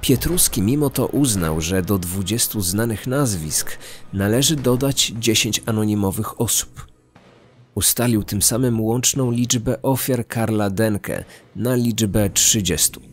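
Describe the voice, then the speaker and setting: A man narrating a scripted true-crime podcast in a measured, articulate manner. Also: lowish voice.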